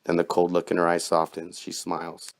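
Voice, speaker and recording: A man's voice: sits low, completely flat delivery, a little fast, gravelly. A man narrating a horror tale in a theatrical, wry storytelling style. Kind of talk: dramatic scripted horror storytelling.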